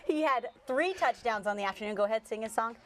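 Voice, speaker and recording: A woman with an American accent co-anchoring a sportscast, narrating game highlights with light banter. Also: expressive, quick pace, soft background music. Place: TV studio.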